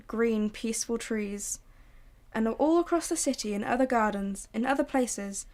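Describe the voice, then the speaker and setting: Woman narrator, high voice, slow and hushed. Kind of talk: scripted narration for a radio drama.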